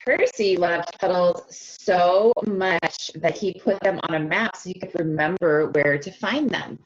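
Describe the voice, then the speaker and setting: A woman reading a picture book aloud to children, expressive and stretching key words, often stopping to ask them questions directly, on a slightly dull lo-fi recording. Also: on the low side, unhurried. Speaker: speech-language pathologist reading to children. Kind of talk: animated children's read-aloud with questions to listeners.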